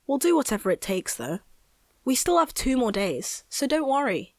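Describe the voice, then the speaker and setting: A woman's voice: sits high, very animated, normal speed, a little mellow, a little hiss or hum. A female performer playing a curious, supportive young boy in a children's audio drama.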